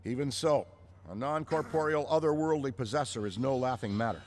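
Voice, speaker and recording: An actor playing a bookish, verbose paranormal investigator in a video game cutscene. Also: male voice, medium pitch, a little fast, a little mellow, lightly acted. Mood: earnest, puzzled. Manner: verbose, emphatic.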